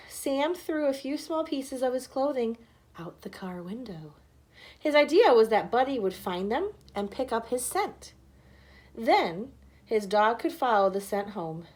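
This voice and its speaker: A woman's voice: on the high side, darkish tone. A woman reading a scripted children's story aloud as narrator. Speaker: storybook reader.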